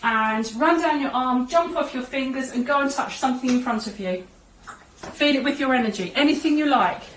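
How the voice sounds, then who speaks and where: A woman's voice: high-pitched, moderate pace. A woman giving guided visualisation instructions as a teacher, in short directing phrases. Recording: echoey, distant room with noise.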